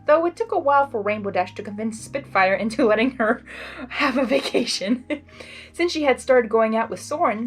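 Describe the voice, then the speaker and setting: A woman reading a story aloud as a host, with an excitable, gushing, expressive delivery. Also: mid-range voice. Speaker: fan fiction reader and channel host.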